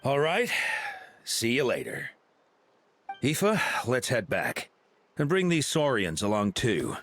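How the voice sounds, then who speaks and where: An actor playing a stern, principled warrior chief in a video game, deliberate and authoritative. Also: male voice, mid-range voice, wildly swooping pitch, a bit bright, full character voice. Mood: amused, commanding. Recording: background music behind voice.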